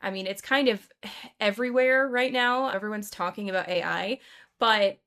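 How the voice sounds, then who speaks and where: A woman's voice: mid-range voice, moderate pace, fairly bright tone. A woman hosting a conversation about teaching, talking in an eager, chatty way. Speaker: host, English teacher. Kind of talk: casual conversation.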